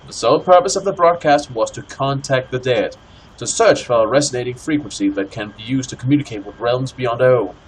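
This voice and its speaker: A man hosting a co-op gaming session, commenting casually and reading in-game text aloud with deliberate phrasing. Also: mid-range voice, low-quality recording. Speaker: host of a co-op let's play. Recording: clear background noise, game ambience.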